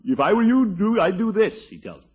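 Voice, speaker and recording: An actor playing a pompous, easily flustered middle-aged uncle in a 1950s radio comedy, with a blustery, theatrical delivery. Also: male voice, high voice, sing-song, brisk, darkish tone, husky, exaggerated voice. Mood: indignant. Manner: blustery, theatrical, chuckling, exclamatory. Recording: dull, muffled old radio recording.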